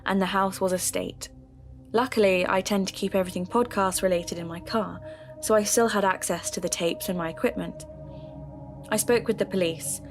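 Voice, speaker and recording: A woman playing an earnest, determined podcaster, narrating a mystery audio drama in a measured, confiding way. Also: mid-range voice, close to an everyday voice. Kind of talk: scripted first-person audio drama narration.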